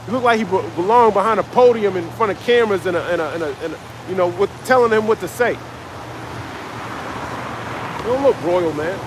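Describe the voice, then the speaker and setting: A man's voice: high-pitched, a little fast, long pauses, noisy background. A man preaching on a live stream, reading scripture aloud and expounding it in a confrontational, profane way. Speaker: street preacher.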